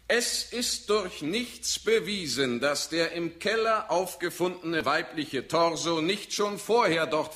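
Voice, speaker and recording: Male defence barrister, fairly high voice, very measured pace, formal courtroom drama. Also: a bit bright. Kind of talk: audio drama courtroom speech.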